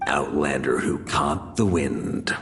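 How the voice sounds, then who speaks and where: An actor playing a confident, rugged knight hero in a video game, musing in a wry, self-assured way. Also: male voice, a bass voice, slow, very noisy, full character voice. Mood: calm, resolute. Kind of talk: video game character acting.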